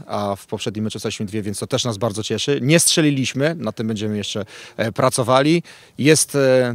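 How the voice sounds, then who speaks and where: A man in his thirties from Poland, a football coach giving a thoughtful, halting post-match interview outdoors at night into a handheld mic. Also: medium pitch, dry recording. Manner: thoughtful, halting, with many restarts and fillers. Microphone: handheld reporter mic.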